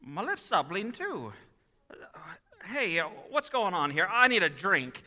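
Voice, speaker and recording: A man's voice: high voice, very animated, unhurried, pushed and bright, full character voice. An actor playing a bewildered, stammering, folksy small-town man in a 1940s-style radio play performed on stage. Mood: dejected, bewildered. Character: agitated, bewildered small-town everyman wishing he was never born. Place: theatre hall, live performance. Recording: live stage taping in reverberant hall.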